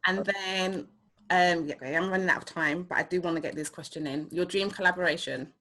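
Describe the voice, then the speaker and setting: A woman's voice: on the low side, a little fast, sharp tone. A woman hosting a casual interview, informal, playful and effusive as she puts questions to her guests. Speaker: show host, interviewer.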